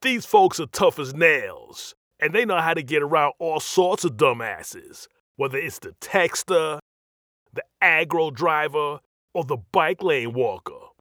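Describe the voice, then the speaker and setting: A man's voice: a little high, lively intonation, a little slow, a bit bright, no room sound, studio quality. An actor playing a brash, cocky, streetwise New York guy who narrates a comedic scripted voiceover with sassy attitude.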